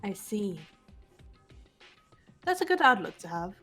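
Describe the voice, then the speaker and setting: A woman voicing a cool, serious teenage girl detective in a visual novel game, measured and matter-of-fact. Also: mid-range voice, very animated, fairly bright tone, faint music behind, heavily acted. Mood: composed, serious. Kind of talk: visual novel character reading.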